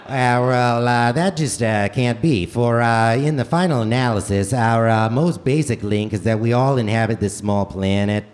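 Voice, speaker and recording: A man playing a stately male politician in a comedic radio play, stammering and oratorical, with drawn-out hesitations. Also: medium pitch, darkish tone, close to an everyday voice. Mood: lofty. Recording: live theater stage, faint noise.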